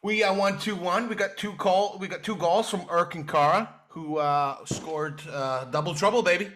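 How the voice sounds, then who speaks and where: A cocky American soccer bro, put on by a co-host of a comedy podcast, all slang and swagger. The voice sits high and bright. He brags and calls everyone bro. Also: moderate pace. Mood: boastful. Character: brash American male soccer fan, chummy bragging bro.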